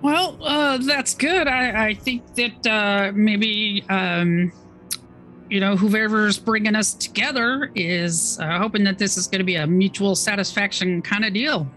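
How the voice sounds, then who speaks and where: Casting note: woman player, mid-pitched, chatty, faint music under. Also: unhurried, fairly bright tone, low-quality recording. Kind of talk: casual tabletop play.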